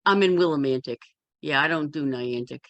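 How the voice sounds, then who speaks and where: Woman spiritual teacher just chatting, crackly fry in her voice, real dry audio. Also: low-pitched, a little fast, no room sound, muffled recording. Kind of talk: casual group chat.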